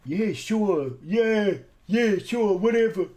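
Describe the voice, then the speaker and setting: A man's voice: high-pitched, expressive, darkish tone. A man voicing a big muscular dog as a laid-back mafia-style tough guy in an improvised story. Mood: easygoing. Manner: slow, casual mafia-style swagger. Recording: voice under prominent music.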